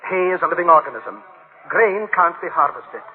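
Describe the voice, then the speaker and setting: A man's voice: a little high, lively intonation, moderate pace, close to an everyday voice. Man playing troubled scientist in a radio play, broody, clipped dramatic delivery, sounds like a tinny old radio. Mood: alarmed. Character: troubled male scientist, brooding over his dangerous invention. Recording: tinny band-limited radio recording.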